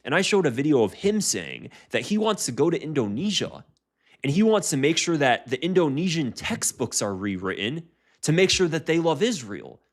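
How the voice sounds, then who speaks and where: A man's voice: on the high side, sing-song, normal speed. An American man giving heated reaction commentary, often restarting his sentences. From United States.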